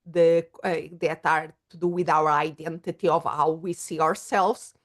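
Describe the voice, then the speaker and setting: A middle-aged woman with a Portuguese accent speaks in a low register. She explains ideas thoughtfully and searches for words, with frequent small pauses and fillers. The talk is a relaxed interview. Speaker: guest psychologist and coach. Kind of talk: casual interview conversation.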